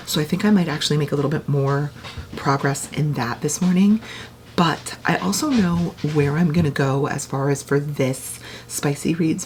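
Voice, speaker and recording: A woman in her thirties chatting casually at home about the books she reads, her voice worn and raspy from a long day, full of "like" fillers. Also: low-pitched, a little hiss or hum. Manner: hushed whisper early in the morning. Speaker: book vlogger. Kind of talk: casual read-along vlog chat.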